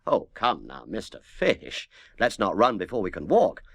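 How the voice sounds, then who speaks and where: Fussy polite dad voiced by a man, a bit flustered, pitch hopping around. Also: wildly swooping pitch, moderate pace, a bit bright, dry recording, exaggerated voice. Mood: reasoning. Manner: courteous and slightly flustered. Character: Mister Wise, a polite fussy middle-aged father. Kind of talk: storybook reading.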